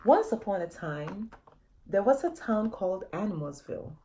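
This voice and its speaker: A woman's voice: medium pitch, lively intonation, normal speed, warm tone, slight background noise. A woman author reading a children's story aloud, expressively, often addressing young listeners directly with questions.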